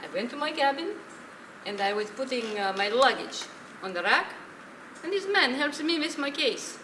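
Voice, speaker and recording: A woman playing a sardonic old woman, high-voiced. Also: animated, normal speed, pushed and bright. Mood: matter-of-fact. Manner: dry sarcasm, scolding, Yiddish-flavoured phrasing. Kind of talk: stage play acting, dramatic dialogue. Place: stage in a theatre, distant microphone. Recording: echoey, noisy theatre recording from far off.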